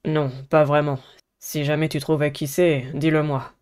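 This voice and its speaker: A man voicing an aloof, sardonic teenage schoolboy in a dating game, with a cool, flat delivery. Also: a little high, normal speed, clean tone, natural voice. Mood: mocking, cool.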